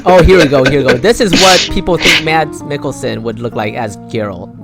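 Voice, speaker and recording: A man's voice: mid-range voice, a little fast, gravelly, over loud music. A man co-hosting a podcast with sarcastic, crude, casual banter. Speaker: podcast co-host.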